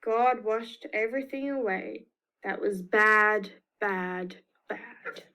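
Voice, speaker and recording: A woman's voice: mid-range voice, slow, pushed and bright, poor audio. A woman with an Australian accent reading a picture book to young children, expressively, with emphatic repetition. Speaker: children's storyteller.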